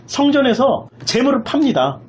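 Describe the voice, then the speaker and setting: An impassioned pastor delivers a sermon with very lively, swinging intonation. Key phrases are repeated emphatically and questions are thrown out in a raised voice. Some background noise runs behind the preaching. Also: high voice, normal speed.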